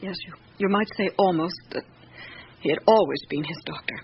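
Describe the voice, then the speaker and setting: A woman's voice: on the low side, brisk, lightly acted. Woman playing a hesitant elderly female servant. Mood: subdued. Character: loyal elderly female servant of a count, troubled. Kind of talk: radio drama acting. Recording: muffled, noisy old radio recording.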